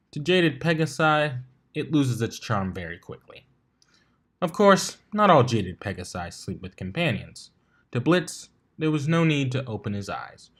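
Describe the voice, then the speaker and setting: A man reading fiction aloud as a narrator, in an expressive storytelling style. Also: mid-range voice.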